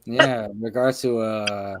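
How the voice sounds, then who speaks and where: A man's voice: lowish voice, a little slow, noisy background. A man hosting a casual radio talk show, telling stories with lots of fillers. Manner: animated storyteller with frequent fillers.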